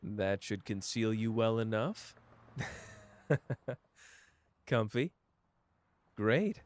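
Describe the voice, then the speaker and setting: A man doing a slow, flirtatious charmer. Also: fairly low pitch, animated.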